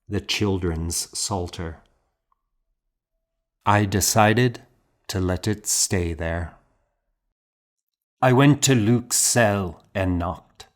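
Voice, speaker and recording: A man reading a historical novel aloud in a measured, solemn, first-person storytelling manner. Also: low-pitched. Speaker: audiobook narrator.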